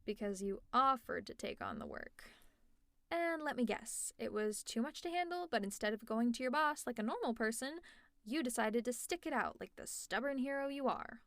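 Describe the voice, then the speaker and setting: A woman's voice: on the high side, big pitch swings, normal speed, fairly bright tone, no room sound, clean studio sound. A woman playing a sarcastic but caring female supervillain in an audio roleplay, teasing and exasperated, with sighs and laughs. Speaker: ASMR roleplay narrator.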